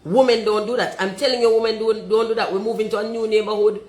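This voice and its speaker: A Jamaican woman with a deep voice, talking forthrightly and emphatically and slipping into patois. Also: fairly even intonation, quick pace, darkish tone, roomy sound. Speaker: commentator.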